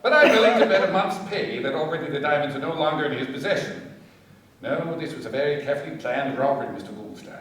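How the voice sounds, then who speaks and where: A man playing a shrewd, composed detective inspector in a radio play, speaking with brisk, measured authority. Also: fairly high pitch, expressive, a bit bright. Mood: measured, knowing. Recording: very echoey, distant from microphone.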